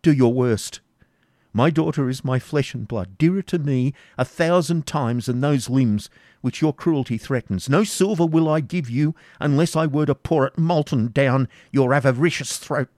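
Male reader as a frail old father, high strained voice, pleading and passionate, dry sound. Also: normal speed, a little mellow, no echo. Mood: defiant. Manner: impassioned, imploring.

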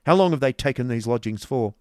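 Male reader as a hard, blunt gentleman, loud and clipped, voiced up a step, in a dry room. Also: mid-range voice, animated, fast, no echo, pitched above the performer's own voice, lightly acted. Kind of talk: audiobook character voice.

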